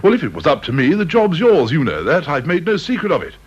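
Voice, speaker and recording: A husky, swooping, breezy British gent. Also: male voice, a little high, wildly swooping pitch, fast talker, full character voice. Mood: confiding, reassuring. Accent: British English. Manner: breezy, avuncular, chatty. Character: genial, chummy senior personnel chief, old-school gent. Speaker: actor in a radio play. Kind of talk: British radio drama acting. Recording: dull, lo-fi old radio recording.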